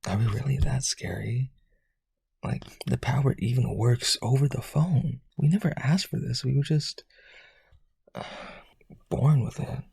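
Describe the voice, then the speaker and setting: A man playing a lonely vampire aristocrat in a romantic audio roleplay, pleading earnestly and haltingly in a breathy, husky voice. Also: darkish tone, dry recording, full character voice. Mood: hurt, wistful. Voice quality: husky, breathy low voice, often near whisper.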